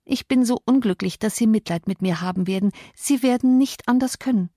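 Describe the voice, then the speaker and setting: A woman doing a pleading, desperate young woman, high-pitched. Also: a little fast, dark tone, no echo, clean studio sound, natural voice. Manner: pleading, earnest. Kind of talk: audiobook character voice.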